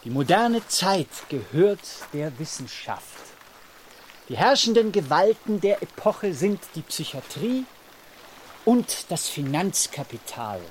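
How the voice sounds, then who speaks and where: A man's voice: a little high, sing-song, slow, a little hiss or hum. An actor playing a grandiose, unhinged king in a radio play, with an exalted, declamatory delivery. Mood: grandly musing. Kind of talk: German radio play acting.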